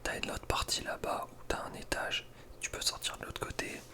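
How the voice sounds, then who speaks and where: A man's voice: moderate pace, sharp tone. A young man whispering casual ASMR gaming commentary in an informal, slangy, hesitant way. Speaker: ASMR video creator.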